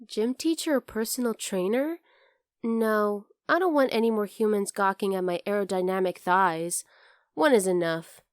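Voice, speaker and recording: A woman's voice: mid-range voice, moderate pace, no room sound, studio quality, natural voice. A woman voicing a teasing, curious young bunny girl in an ASMR roleplay, speaking to the listener in a playful, casually blunt way. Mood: stubborn, playful.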